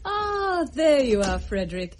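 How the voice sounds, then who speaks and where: A woman playing a warm, genteel Victorian wife with an English accent, effusive and gently teasing, in a period drama. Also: high voice, very animated, measured pace, slight background noise. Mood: welcoming. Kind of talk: period radio drama acting.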